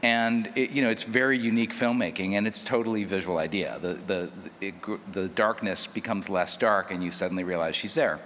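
A man's voice: fairly low pitch, a little fast, few pauses, fairly bright tone. A man speaking off the cuff as a panelist, thoughtfully explaining and feeling his way through his points. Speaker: panelist, audio drama producer. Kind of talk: unscripted panel discussion. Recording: echoey hall, clear background noise.

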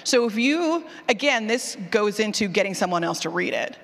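A woman giving a casual, candid talk as a presenter, animated and self-deprecating, often acting out her own thoughts. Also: mid-range voice, normal speed, vocal fry. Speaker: presenter, fiction podcast writer. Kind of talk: casual conference talk with audience questions. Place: indoor session room with long reverb. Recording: reverberant hall.

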